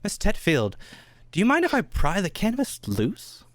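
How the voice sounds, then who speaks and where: A man plays a confident gentleman detective from England in an amateur radio play. His brisk lines leap widely up and down in pitch, and the sound is dry, with little room on it. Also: huge pitch swings, dry recording. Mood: confident, deliberate.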